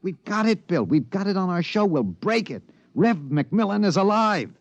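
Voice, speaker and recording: A man playing an excited, obsessed radio reporter with an American accent, stammering and emphatic in 1950s radio drama style. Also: high voice, moderate pace, dry recording. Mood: urgent, insistent. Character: excited radio newsman, agitated, obsessed with breaking a cover-up. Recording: dull vintage radio recording.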